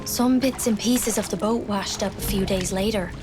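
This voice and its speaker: A woman playing a young, grieving wartime nurse in a game drama, soft and wistful, with a Newfoundland accent. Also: medium pitch, moderate pace, music in the background, close to an everyday voice. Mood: hushed storytelling. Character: grieving wartime nurse, sister of a fallen soldier. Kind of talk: dramatic game cutscene storytelling.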